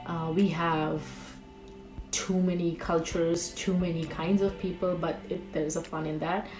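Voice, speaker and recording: A woman's voice: on the low side, little pitch movement, measured pace, over music, rough recording. A woman in her thirties from Bengal in India, a native Bengali speaker, chatting casually in a vlog and reading questions aloud with many fillers and restarts. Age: 30s. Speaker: YouTuber. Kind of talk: casual tag video vlog.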